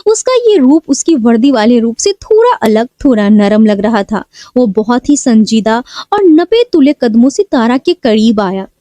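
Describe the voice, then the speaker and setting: A woman's voice: on the high side, big pitch swings, measured pace, dark tone, no room sound. A woman storyteller reading a scripted, dramatic story.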